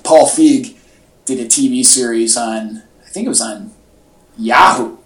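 Male podcast co-host, average pitch, informal and halting fan chatter, captured with noticeable room echo. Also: fairly bright tone. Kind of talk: casual chat about comics and movies. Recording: echoey, roomy podcast audio.

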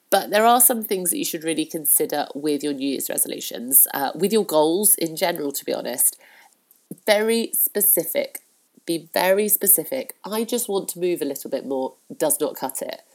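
A woman's voice: lowish voice, darkish tone. A woman hosting a solo podcast in a chatty, encouraging way, with a blocked nose from a cold and frequent fillers. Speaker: podcast host. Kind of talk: casual solo podcast talk.

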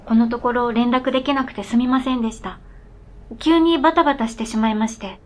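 A woman's voice: a little high, brisk, lightly acted. A woman playing an earnest, polite talking snowmobile in a radio drama, speaking formally and matter-of-factly. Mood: polite, eager.

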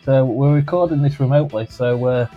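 A man's voice: medium pitch, fairly quick, highs cut off, faint music behind. Jokey man hosting a podcast. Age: 30s. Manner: jokey, laughs often, rambling. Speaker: podcast host. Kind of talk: casual chat. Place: home, recording remotely.

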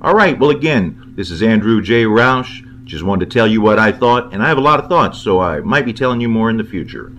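Man, low voice, conversational film review, opinionated, rambling. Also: lively intonation, brisk, hardly any pauses, a bit bright, a little hiss or hum. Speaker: film commentator and writer. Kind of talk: informal film review monologue.

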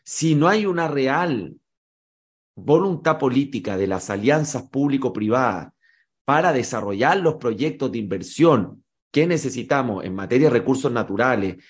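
A man speaks as an expert guest, laying out his points in a measured, explanatory way. His voice sits in an ordinary middle pitch. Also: expressive. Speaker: political risk analyst. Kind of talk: expert interview answers.

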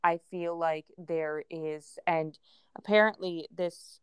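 A woman's voice: lowish voice, steady tone, takes it slowly, clear, resonant tone, no room sound, lo-fi sound. A woman from Ukraine whose first language is Russian, co-hosting a casual podcast chat in an earnest, circling way with many fillers. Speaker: podcast co-host.

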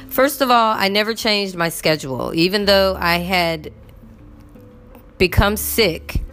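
A woman's voice: low-pitched, fairly bright tone. A woman in her fifties chatting casually to her viewers, rambling with lots of fillers while getting over a cough. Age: 50s. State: recovering from illness, cough. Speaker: vlogger.

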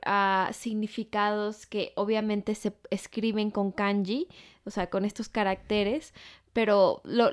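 A woman explaining things in casual conversation, pausing with hums as she searches for words. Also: mid-range voice, smooth. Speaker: panelist, learner of Japanese.

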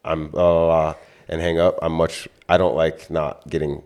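A man co-hosting a podcast in casual chat, blunt and quick to swear as he riffs on his co-host's complaints. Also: a bass voice, flat delivery, darkish tone. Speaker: podcast co-host. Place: home podcast setup.

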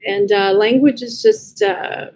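American woman, thirties, creaky vocal fry, casual reflective interview guest, faint rumbling noise. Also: big pitch swings, a little slow, darkish tone. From United States and Brazil. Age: 30s. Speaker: podcast guest, writer and editor.

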